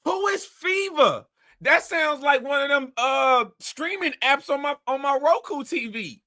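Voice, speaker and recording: A man's voice: very high-pitched, animated, unhurried, bright, forceful tone. A man hosting a casual commentary show, talking bluntly and animatedly in a rambling way. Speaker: host.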